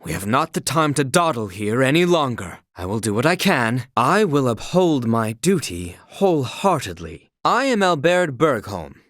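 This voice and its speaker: Casting: young royal knight for a fantasy game; formal, dutiful, anxious to serve, very animated intonation, dry close recording. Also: male voice, medium pitch, moderate pace, hardly any pauses, no echo. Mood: grave, formal. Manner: formal, archaic, courtly diction. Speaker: video game character voice. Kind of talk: scripted fantasy game acting.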